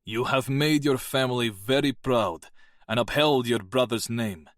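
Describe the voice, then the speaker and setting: A man's voice: medium pitch, a little slow, no room sound, studio quality, pitched above the performer's own voice, lightly acted. A man voicing a formal, persuasive Russian military colonel. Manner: formal, pleading.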